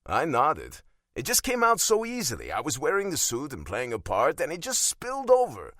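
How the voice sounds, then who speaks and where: A man's voice: a little high, huge pitch swings, moderate pace, a bit bright, dry recording, studio quality. A man narrating a first-person romance audiobook in a wry, self-deprecating, conversational way.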